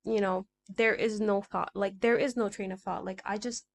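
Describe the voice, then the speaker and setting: A woman's voice: medium pitch, steady tone, moderate pace. A young woman from Sudan, a native Arabic speaker with a mixed American and British accent, hosting a casual solo podcast and rambling from thought to thought. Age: young adult. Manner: rambling, chatty, jumps between thoughts. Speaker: podcast host. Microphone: small desk mic.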